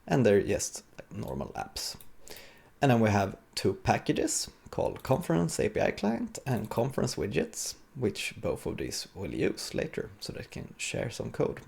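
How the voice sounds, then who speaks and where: A man giving an informal, explanatory live coding talk as a conference speaker, with a gravelly, husky voice. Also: fairly low pitch, wildly swooping pitch, a little slow, darkish tone.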